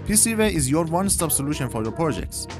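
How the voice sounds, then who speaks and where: A man's voice: on the high side, big pitch swings, fast talker, music in the background. A man in his twenties narrating an electronics tutorial as the presenter of his own videos. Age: 20s.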